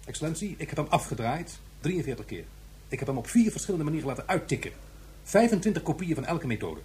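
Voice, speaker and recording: Pedantic male professor for sci-fi radio play: higher voice, insistent, precise, very animated pitch. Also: fast talker. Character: stubborn, earnest male professor arguing his case. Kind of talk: Dutch science fiction radio drama acting.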